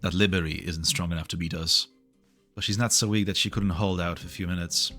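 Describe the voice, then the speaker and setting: A man's voice: low-pitched, steady tone, a little fast, sharp tone, soft background music. A man playing a dutiful soldier who reports to his commander in an amateur game dub. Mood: matter-of-fact, alert. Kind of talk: English fan dub of a game story.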